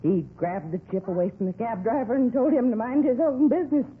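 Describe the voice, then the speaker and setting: A man's voice: extremely high pitch, a little fast, phone-quality sound. An actor playing a nosy, gossipy older woman who rambles confidingly, in a 1950s radio drama style. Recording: thin, noisy vintage radio recording.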